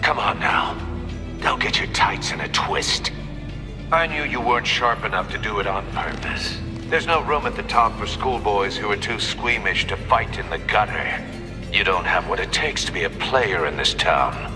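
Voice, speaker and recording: A man's voice: fairly low pitch, animated, normal speed, lightly acted. Guy doing sly condescending villain for a game, voice goes all over, long reverb. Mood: mocking. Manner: taunting, theatrical. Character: mocking, sly male supervillain, gleefully condescending. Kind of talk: video game villain acting. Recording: echoing hall, music behind.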